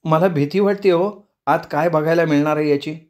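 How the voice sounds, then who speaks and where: A man's voice: on the high side, moderate pace, darkish tone, pitched above the performer's own voice, lightly acted. A man voicing a frightened young woman who pleads and frets in a nervous, raised voice. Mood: anxious. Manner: fretful, pleading.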